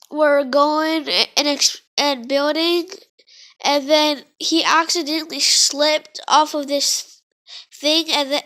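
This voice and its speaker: A young child vlogger who is sick, rambling through a casual monologue with lots of fillers and restarts. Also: female voice, high-pitched, fairly bright tone. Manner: halting, many fillers and restarts.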